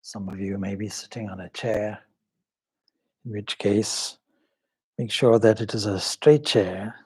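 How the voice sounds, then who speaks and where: A man leading a guided meditation as a swami, speaking in a measured, solemn, devotional way with pauses between short phrases. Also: lowish voice, fairly even intonation, darkish tone, husky.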